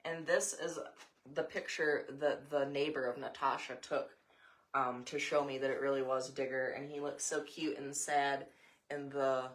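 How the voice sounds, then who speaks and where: Low-voiced American woman reading aloud. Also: little pitch movement, normal speed. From Montana, USA. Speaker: mother reading a story aloud. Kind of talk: read-aloud storytelling with casual asides.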